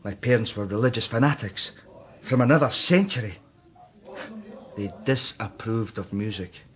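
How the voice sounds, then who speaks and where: An actor playing a brooding, guilt-ridden Scottish composer, intense and defensive, in a radio drama. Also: male voice, on the low side, normal speed, natural voice. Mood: anguished, fervent.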